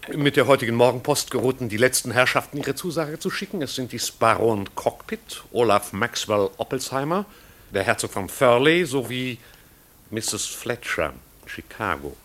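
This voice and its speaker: An actor playing a pedantic, long-serving older butler in a 1950s radio play, speaking in stilted, pompous, formal phrases. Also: male voice, mid-range voice, lively intonation, moderate pace, fairly bright tone. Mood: formal, dutiful.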